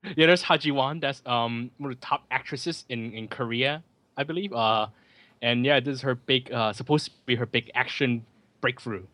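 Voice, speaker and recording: A man giving casual, joking running commentary on a film as a host, with sardonic asides and halting, repeated words. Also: medium pitch, pushed and bright, no room sound.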